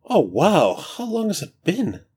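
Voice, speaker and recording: A man voicing a chatty ancient male god-king who stammers and rambles with breezy excitement. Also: high voice, measured pace, slight background noise, voice pushed up, heavily acted. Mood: excited, breezy.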